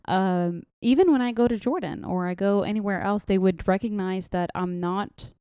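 A young woman from Saudi Arabia speaks. Her voice is mellow and smooth, and her talk is loose and chatty, full of fillers and restarts. The sound is dry and close. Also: medium pitch, moderate pace, dry recording. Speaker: interview guest. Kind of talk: casual interview chat.

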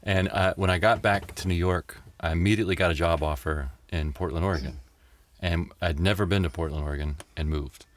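Middle-aged American man, low register, dry wit, relaxed conversational storytelling as a guest. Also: brisk, hardly any pauses, slight background noise. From Tennessee and Mississippi, United States. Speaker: interview guest.

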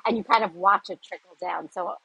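Woman recruiter cohosting a podcast, really husky voice, dry sound. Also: medium pitch, fairly quick, bright, forceful tone, dry recording, low-quality recording. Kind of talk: casual podcast chat.

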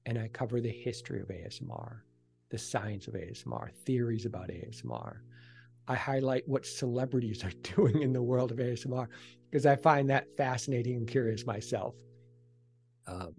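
A man talks as a guest in a conversational podcast interview. His voice has a noticeable creak and sits at an average pitch, with clear music playing alongside him. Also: brisk, darkish tone. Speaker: podcast guest, ASMR creator and author.

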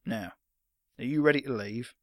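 Man voicing a rough, gruff old fighter. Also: mid-range voice, normal speed, no room sound, clean studio sound, natural voice. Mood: menacing. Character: gruff old retired fighter, scowling and menacing.

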